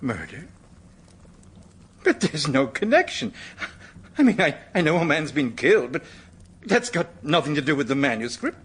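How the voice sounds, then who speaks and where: An actor playing an evasive, easily flustered American manuscript collector in a radio drama, polite but defensive, sometimes stammering. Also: male voice, a little high, very animated, normal speed, pauses often, a bit bright, breathy edge, exaggerated voice. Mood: shocked.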